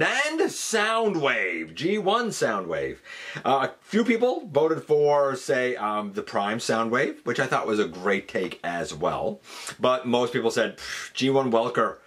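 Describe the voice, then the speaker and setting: A man's voice: mid-range voice, very animated, unhurried, fairly bright tone, breathy edge. A man hosting a fan countdown, giving casual, enthusiastic and emphatic commentary. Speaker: host of a fan countdown show.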